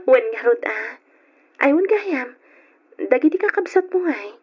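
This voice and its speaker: Radio drama role: affectionate young Ilocano-accented woman, high-pitched, on a dull lo-fi recording. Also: very animated, slow pace, heavily acted. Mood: warm, glad. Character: affectionate young woman back from working abroad, longing to marry. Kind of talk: old-time Ilocano radio drama acting.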